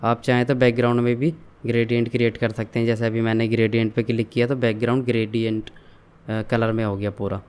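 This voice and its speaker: A young man calmly walking viewers through an online store setup, step by step, mixing English terms into his explanations. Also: medium pitch, fairly quick, clean tone. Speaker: online tutorial instructor. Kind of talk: screen-share software walkthrough.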